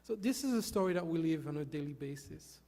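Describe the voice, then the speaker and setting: A man's voice: on the high side, expressive, brisk, gravelly, mellow. Earnest man in a reverberant hall. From Haiti. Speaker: volunteer speaker. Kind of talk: short stage talk. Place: hall with an audience. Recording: long reverb of a large hall.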